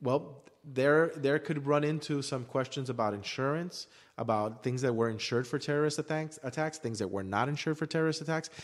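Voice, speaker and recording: A man's voice: mid-range voice, brisk, hardly any pauses. A man in a casual debate, making his case in an emphatic, insistent and argumentative manner. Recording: reverberant hall-like room echo.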